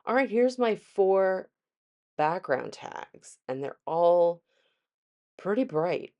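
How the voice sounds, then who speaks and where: A congested woman hosting a craft channel, talking through her process in a relaxed, chatty voiceover with frequent ums. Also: on the low side, slow pace, highs cut off. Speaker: craft video host. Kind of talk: casual tutorial voiceover. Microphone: voiceover mic.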